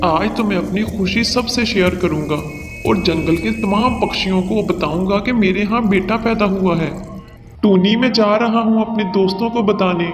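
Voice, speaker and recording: A domineering cartoon father bird, acted by a man, pitched high for a male voice. He talks in a harsh, boastful, scolding way, in a long hall-like reverb with music clearly behind him. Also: moderate pace, natural voice. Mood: elated, boastful. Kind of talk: Hindi cartoon voice acting.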